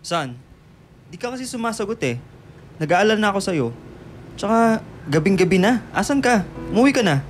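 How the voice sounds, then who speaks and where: A man playing a loving, patient middle-aged father in a radio drama, with a gentle, coaxing manner. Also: high-pitched, big pitch swings, brisk, pauses often, a little hiss or hum. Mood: worried, pleading.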